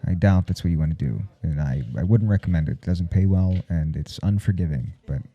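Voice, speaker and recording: A man playing a nervous, smitten professor in an intimate ASMR roleplay, husky and halting, stammering coyly as he speaks. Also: lo-fi sound. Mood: tender confessing. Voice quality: husky, dark low murmur. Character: nervous, smitten male professor confessing forbidden attraction. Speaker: audio roleplay performer.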